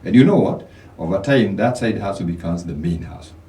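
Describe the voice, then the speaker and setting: Deep-voiced Kenyan man giving money advice. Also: expressive, fairly quick, warm tone, reverberant, distant mic. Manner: repeats words when starting, preachy motivational tone. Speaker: financial coach guest. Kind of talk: radio interview advice. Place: radio studio.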